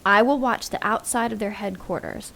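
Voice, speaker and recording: A fierce and devoted demon woman speaks at a middling pitch. She is blunt and intense, in audio drama style. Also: moderate pace, vocal fry, a bit bright. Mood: defiant.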